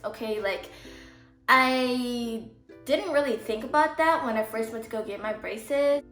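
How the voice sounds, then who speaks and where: A woman vlogger giving casual advice in a rambling, chatty way, with a slight lisp from her braces. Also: fairly high pitch. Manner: chatty, rambling, heavy use of "like". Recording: echoey room with faint music.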